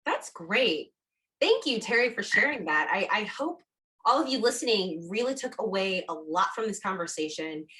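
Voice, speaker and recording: A woman's voice: medium pitch, big pitch swings, moderate pace, sharp tone. A woman hosting an interview podcast, asking questions and encouraging her guest. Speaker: podcast host.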